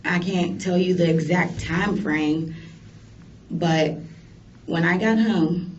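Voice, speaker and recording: A woman's voice: on the low side, slow pace. Defensive American woman, distant muffled recording. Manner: defensive, firm denials. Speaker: suspect being questioned, a mother. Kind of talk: answering police interrogation. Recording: distant, muffled, noisy interview room recording.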